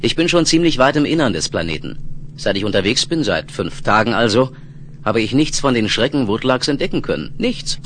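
A man's voice: mid-range voice, expressive, fairly quick, fairly bright tone, natural voice. A man in a 1970s German radio play, acting a daring young reporter on a hostile planet, confident and articulate. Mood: calm, wondering. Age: young adult. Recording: noisy vintage radio play with vehicle ambience.

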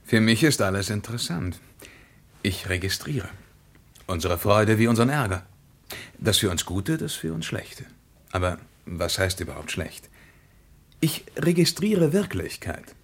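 An actor playing a detached, curious traveller in a radio play, speaking in measured, clipped phrases. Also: male voice, lowish voice, sing-song, exaggerated voice. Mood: detached curiosity. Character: detached, observant male traveller, calmly curious. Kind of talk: German radio play acting.

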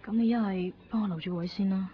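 A woman's voice: mid-range voice, steady tone, fast, close to an everyday voice. A woman acting an eager, insistent traveller in a radio drama. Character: eager female traveller pleading for a plane seat. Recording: dull radio recording, faint noise.

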